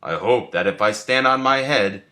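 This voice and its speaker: A man voicing an earnest, helpful, slightly hapless male toad from a storybook, speaking deliberately. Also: lowish voice, animated, sharp tone.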